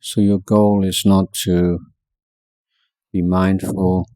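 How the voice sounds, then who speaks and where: A man guiding a meditation as a teacher, speaking in short measured phrases with long pauses between them. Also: sits low, little pitch movement, warm tone, no echo. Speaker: Buddhist monk teaching meditation. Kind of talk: guided meditation instruction.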